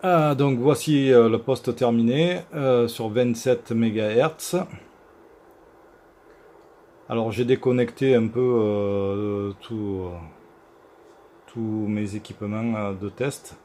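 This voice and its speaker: A man giving an informal equipment demonstration as a repair technician, speaking haltingly with many hesitation sounds. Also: mid-range voice, steady tone, dark tone, slight background noise. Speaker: radio repair technician presenting his work. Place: electronics workshop.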